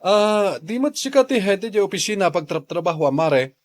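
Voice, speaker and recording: A man's voice: high-pitched, expressive, moderate pace. A man with an Ilocano accent playing a patient, agreeable husband and jeepney driver in a radio drama. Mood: friendly.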